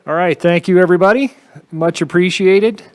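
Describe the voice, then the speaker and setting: A man's voice: sits high, normal speed. A man informally signing off as a presenter, on a noisy recording. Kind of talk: informal thanks and handover. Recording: clearly noisy, with clicks.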